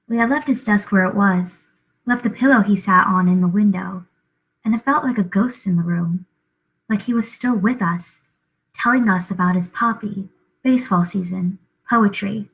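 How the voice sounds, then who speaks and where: A woman's voice: medium pitch, normal speed. A young adult woman giving a dramatic reading of a novel as narrator. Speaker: reader, drama student. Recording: dull lo-fi recording.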